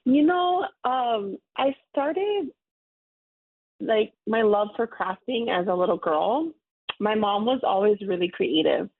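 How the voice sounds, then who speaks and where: Woman, 30s, from Hawaii, friendly, rambling guest, gravelly edge, phone-line sound. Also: mid-range voice, expressive, takes it slowly. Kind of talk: casual interview.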